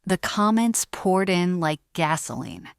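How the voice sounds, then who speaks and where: Woman narrator, lower voice, measured dramatic storytelling, very dry sound. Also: sing-song, vocal fry, clean tone, dry recording. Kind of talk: scripted dramatic audio-fiction narration.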